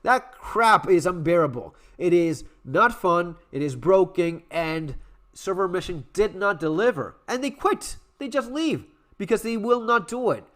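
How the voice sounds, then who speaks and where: A man giving a blunt, profane, exasperated rant about a video game while his voice is going hoarse. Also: sits high, expressive, a little slow, fairly bright tone. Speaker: gaming commentary YouTuber. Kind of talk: ranting video commentary.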